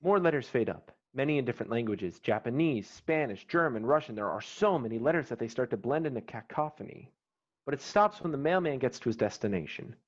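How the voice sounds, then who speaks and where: Male narrator, medium pitch, scripted stage directions, dull online-call audio. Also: animated, brisk.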